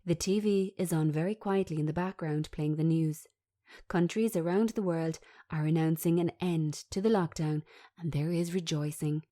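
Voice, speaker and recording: A woman reading a script aloud as narrator, giving the stage directions in a measured, descriptive manner. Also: deep voice, fairly even intonation, mellow.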